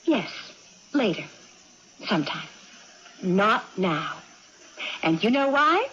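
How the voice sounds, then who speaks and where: An actress playing an excitable young woman with amnesia and swinging moods in a 1980s radio drama, with theatrical, childlike insistence. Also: mid-range voice, slow. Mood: breezy, eager. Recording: dull, noisy old radio broadcast.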